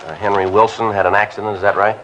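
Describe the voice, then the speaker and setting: An actor playing a terse, methodical police detective sergeant who narrates in a flat, matter-of-fact way in a 1950s radio crime drama. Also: male voice, lowish voice, quick pace, dull audio, music in the background, natural voice. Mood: businesslike. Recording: hissy old radio transcription.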